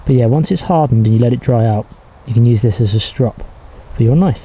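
Brief: man in his 30s, mid-pitch, relaxed hands-on explainer outdoors, faint hiss behind. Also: fairly quick, muffled recording. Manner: explains while working with his hands, restarts often. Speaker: bushcraft vlogger. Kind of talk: casual outdoor how-to narration. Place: outdoors in a pine forest.

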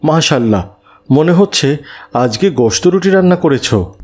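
A man voicing a kindly, pious father in a children's cartoon, calm and devout. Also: on the high side, moderate pace. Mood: gentle.

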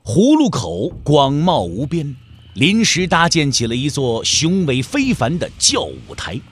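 Lively, higher-pitched male storyteller. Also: a little slow, breathy edge. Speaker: narrator. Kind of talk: scripted dramatic storytelling narration. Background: music.

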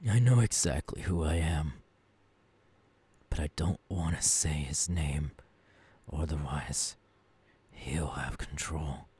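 A man playing a tormented, menacing young ghoul king in an ASMR audio roleplay, in a breathy, often whispering voice with brooding phrasing. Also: very deep voice, takes it slowly, pauses often, a little mellow, heavily acted. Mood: tense, weary. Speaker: voice actor.

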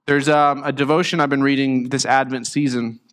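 Reflective pastor in a reverberant hall. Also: male voice, mid-range voice, little pitch movement, fairly bright tone. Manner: reflective, halting with restarts. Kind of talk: sermon. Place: church sanctuary. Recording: long church reverb.

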